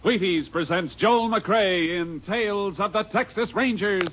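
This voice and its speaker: A man formally introducing a 1940s radio drama in a crisp, stately announcer's voice. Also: high-pitched, a little slow, lo-fi sound. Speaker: program announcer. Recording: old radio broadcast over loud theme music.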